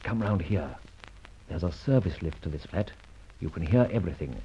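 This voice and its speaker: A man playing a suave, cocky gentleman adventurer in an old radio serial, breezy and teasing with flourished phrases. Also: low voice, animated, normal speed, a little hiss or hum. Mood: conspiratorial. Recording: dull, muffled old radio recording.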